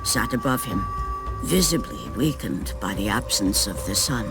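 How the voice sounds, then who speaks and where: A man narrating a video game story in a grave, measured way. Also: fairly low pitch, animated, poor audio. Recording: with loud music.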